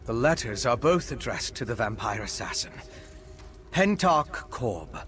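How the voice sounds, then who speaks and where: An actor playing a wry, refined vampire scholar in a video game, speaking in an articulate, measured, bookish way. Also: male voice, mid-range voice, big pitch swings, a bit bright. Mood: studious, grave. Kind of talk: video game character dialogue. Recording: game ambience behind the voice.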